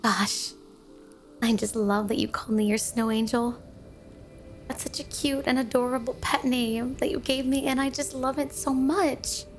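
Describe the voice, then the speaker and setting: Woman voicing a yandere snow woman, highish pitch, coy, affectionate, clingy, ASMR roleplay, faint music underneath. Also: steady tone. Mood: sweet, eager. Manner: sugary, coy, affectionate, unhurried. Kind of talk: ASMR romantic roleplay, addressed to the listener.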